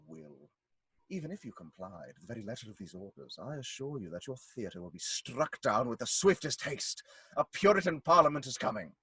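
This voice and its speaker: A man's voice: medium pitch, husky, no room sound. A man acting a menacing, haughty Puritan lord in an audio play, speaking formally and with condescension.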